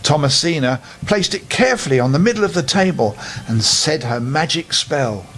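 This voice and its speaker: High-voiced older man telling bedtime stories. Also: normal speed. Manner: expressive, addressing young listeners directly. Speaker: storyteller reading to children. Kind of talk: children's bedtime story narration. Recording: clear background noise.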